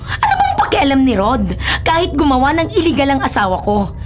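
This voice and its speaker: An outlaw's resentful wife in a Filipino radio drama. Her high voice is emphatic and accusing, always on the defensive, with music behind it. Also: huge pitch swings, brisk. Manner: sharp-tongued, accusing, emphatic.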